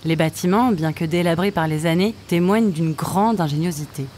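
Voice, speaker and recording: A woman's voice: lowish voice, a little fast, a little mellow, slight background noise. A woman narrating a scripted science-fiction audio story.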